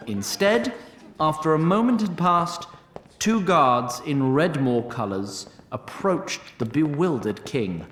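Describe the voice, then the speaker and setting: A man's voice: on the high side, very animated, slow pace, fairly bright tone, echoey room, some chatter behind. A man narrating a scripted fantasy tale in a theatrical, literary and wry manner.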